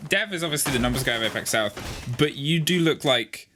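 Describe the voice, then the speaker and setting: A man calling live esports play-by-play with energetic narration and joking banter with his co-caster. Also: a little high, brisk, noisy background.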